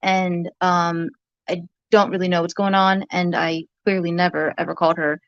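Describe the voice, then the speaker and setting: A soft-voiced woman talking casually as in a vlog, halting and rambling. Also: on the low side, little pitch movement, no room sound, lo-fi sound.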